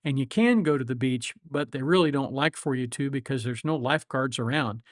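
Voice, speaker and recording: A man's voice: medium pitch, a little fast, no room sound, clean studio sound. A man of seventy, a lawyer hosting a livestream, chatting casually with viewers in a reflective, rambling way with many fillers and restarts. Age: 70s.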